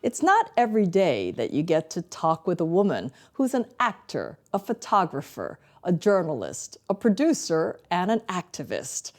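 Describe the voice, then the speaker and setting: A middle-aged woman correspondent narrating a scripted news feature with measured delivery. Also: fairly low pitch, huge pitch swings, darkish tone. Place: living room set.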